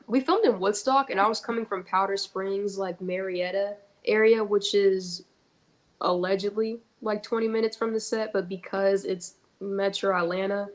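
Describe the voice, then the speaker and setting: A young woman with a congested, stuffy nose, chatting casually and jokingly as a guest on a talk show. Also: mid-range voice. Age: young adult. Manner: chatty and joking, with many fillers. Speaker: guest, actress. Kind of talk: casual chat.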